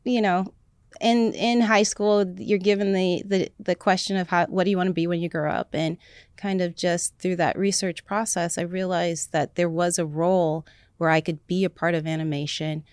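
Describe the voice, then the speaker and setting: A woman's voice: on the low side, fairly even intonation, moderate pace, a little mellow, clear, resonant tone, no echo. A woman talking as an interview guest in casual chat, enthusiastic and rambling, with many fillers.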